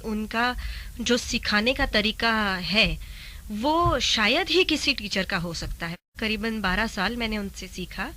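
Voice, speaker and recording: A woman from Mumbai answering interview questions, haltingly searching for her words. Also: mid-range voice, lively intonation, bright, forceful tone. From Mumbai, India. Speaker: interview guest, singer.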